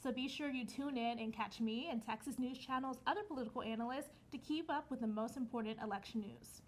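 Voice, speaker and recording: A woman's voice: on the high side, fairly quick, slight background noise. A woman political analyst presenting a scripted news segment in a cheerful, informative way.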